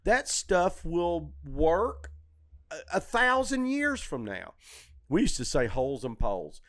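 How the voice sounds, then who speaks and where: A middle-aged man chatting casually as a podcast host, telling stories in an animated, opinionated way and swearing freely. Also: a little high, slow pace, no room sound, studio quality. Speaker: comedy club owner and comedian, podcast host. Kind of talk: casual shop-talk chat.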